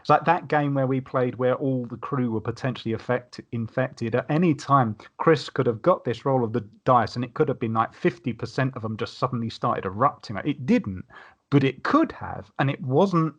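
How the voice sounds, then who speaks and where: A man's voice: medium pitch, expressive, normal speed, fairly bright tone, lo-fi sound. Rambling man in casual chat. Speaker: podcast guest reviewing a tabletop game. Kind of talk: casual chat, recounting game anecdotes.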